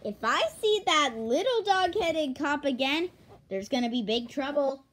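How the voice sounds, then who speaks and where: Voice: high voice, expressive, measured pace, slight background noise, pitched above the performer's own voice. A young reader voicing a blustering, bullying, indignant guard from a comic storybook. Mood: angry, blustering. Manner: shouted insults, indignant.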